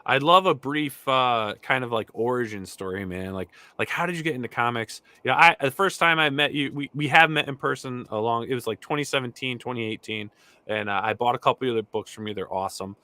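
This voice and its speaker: A man's voice: mid-range voice, fairly quick, bright, forceful tone, no echo. A man co-hosting a casual video podcast, talking with enthusiasm and many restarts. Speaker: co-host.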